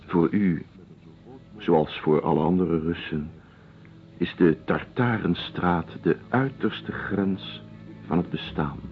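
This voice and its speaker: A cool, pragmatic ship's doctor talks in a very low, deliberate voice, fatalistic and matter-of-fact. It sounds like an old radio play, slightly muffled, with music behind. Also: male voice. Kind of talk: radio drama acting.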